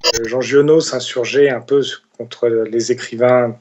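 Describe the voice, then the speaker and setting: Halting Frenchman, slightly dull recording. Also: mid-range voice, fairly even intonation, darkish tone. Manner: halting, frequent pauses. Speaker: comic book writer, interviewee. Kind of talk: spontaneous interview talk.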